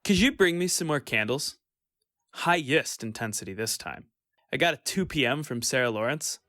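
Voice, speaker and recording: An actor playing an eerily welcoming, unctuous corporate job interviewer in a radio play. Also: male voice, mid-range voice, expressive, moderate pace, pushed and bright. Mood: satisfied, sinister.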